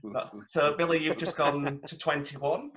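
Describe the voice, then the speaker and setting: A man's voice: on the high side, flat delivery, unhurried, fairly bright tone, a little hiss or hum. Dull lo-fi recording of a male podcast host. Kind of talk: informal interviewing.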